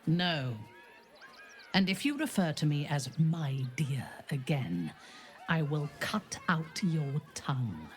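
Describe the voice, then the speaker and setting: Imperious drow warrior woman, low and swooping, ruthless, disdainful, clipped, video game dialogue. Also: big pitch swings, takes it slowly, a little hiss or hum, full character voice. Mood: menacing.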